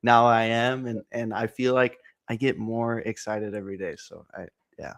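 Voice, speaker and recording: A man in his twenties, excitable and energetic, chatting casually about card breaks with lots of fillers. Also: mid-range voice, normal speed. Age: 20s. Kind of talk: casual chat on a stream.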